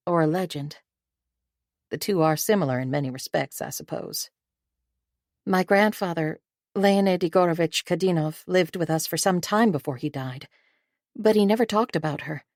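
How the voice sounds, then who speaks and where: A young adult woman narrating an audiobook in the first person, reflective and even, on a close studio mic. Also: lowish voice, no room sound.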